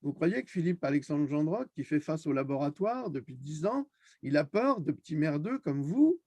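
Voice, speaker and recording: A man's voice: fairly high pitch, big pitch swings, moderate pace, vocal fry, a little mellow, no room sound. A man hosting an online discussion, speaking in an impassioned, scornful rant and repeating phrases for emphasis. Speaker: host of an online video discussion.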